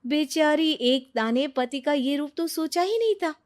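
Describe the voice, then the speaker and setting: A woman's voice: sits high, expressive, a little slow. A woman storyteller narrating a scripted cartoon moral story with dramatic mock pity.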